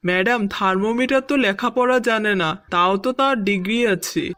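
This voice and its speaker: A woman's voice: mid-range voice, normal speed, very noisy, lightly acted. A woman voicing a cheeky, smart-alecky schoolboy with mock-respectful answers in a cartoon joke sketch. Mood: cheeky, matter-of-fact. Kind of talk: Bangla cartoon joke dialogue.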